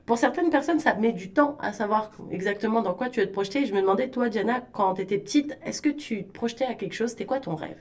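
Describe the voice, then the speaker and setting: A woman's voice: fairly high pitch, fast, hardly any pauses, a little mellow. A woman interviewing a guest on radio in a casual, chatty and informal way.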